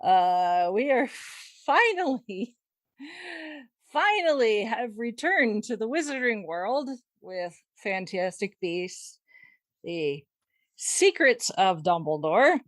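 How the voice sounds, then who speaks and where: A woman's voice: medium pitch, very animated, slow, no room sound. A woman hosting a podcast in a casual, conversational style. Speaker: podcast host. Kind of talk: casual discussion.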